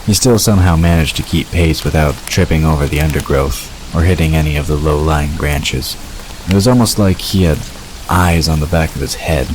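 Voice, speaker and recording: A man's voice: very deep voice, normal speed, a little mellow. A man reading scripted story narration for an audio drama. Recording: noisy, rain-like hiss behind.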